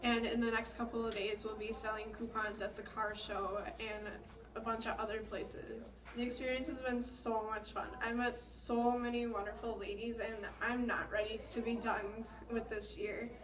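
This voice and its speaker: Flat-toned teen girl in an echoey room. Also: a little high, moderate pace, recorded from a distance. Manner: chatty, listing events with fillers. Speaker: student ambassador interviewee. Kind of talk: casual interview.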